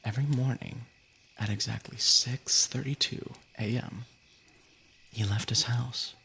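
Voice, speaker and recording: A man with a gravelly, creaky voice casually reading stories as a livestream host, informal and soft-edged. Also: mid-range voice, steady tone, a little slow.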